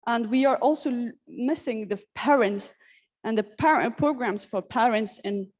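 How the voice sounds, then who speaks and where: A woman from Syria giving an earnest, halting personal talk at a workshop, with many restarts and fillers. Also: fairly high pitch. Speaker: conference speaker. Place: conference hall.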